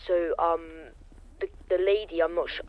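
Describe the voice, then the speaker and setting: A man's voice: on the high side, fairly even intonation, normal speed, dull audio, a crowd in the background. A British man playing a police officer in a game roleplay, reporting with many hesitant ums.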